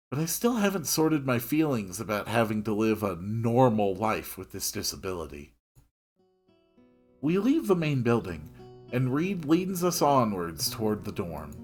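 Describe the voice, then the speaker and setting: A man reading a story aloud in an expressive narrating style. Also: mid-range voice.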